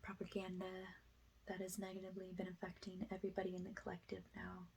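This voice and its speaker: A woman speaking in a hushed, often whispered way, guiding a spiritual ASMR energy cleansing as a healer. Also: on the low side, monotone, fast, gravelly, heavy background noise.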